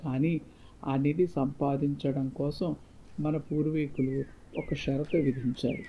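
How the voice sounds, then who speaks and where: A male storyteller voicing an earnest, solemn father who gives measured counsel to his son in a moral tale. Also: fairly high pitch, fairly even intonation. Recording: muffled lo-fi, faint background noise.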